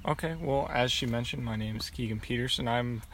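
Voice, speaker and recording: Young guy from pennsylvania, kinda gravelly, lots of ums and restarts, bit of hiss behind. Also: medium pitch, fairly quick, a bit bright. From Pennsylvania, USA. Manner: rambling, many ums and restarts. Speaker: guest. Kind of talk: casual interview answers.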